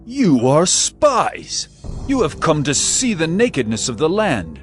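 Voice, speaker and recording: Man playing a stern governor, slow firm delivery, animated pitch, faint background music. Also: heavily acted. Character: stern Egyptian governor testing his brothers. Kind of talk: dramatized scripture reading.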